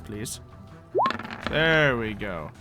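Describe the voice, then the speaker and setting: A man's voice: on the low side, expressive, extremely slow, over music. A man acting as game master, casually narrating and ruling on a tabletop fantasy game in an easygoing way.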